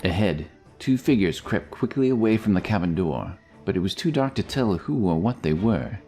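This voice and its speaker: A man's voice: sits low, sing-song, normal speed, warm tone. A man narrating a scripted fantasy story as a storyteller. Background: music.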